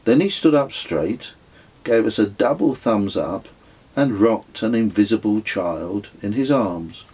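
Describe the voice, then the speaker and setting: A man reading his own memoir as an audiobook, telling it in a measured, wry storytelling way. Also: on the low side, animated, a little mellow, breathy edge, rough recording. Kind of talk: scripted audiobook narration.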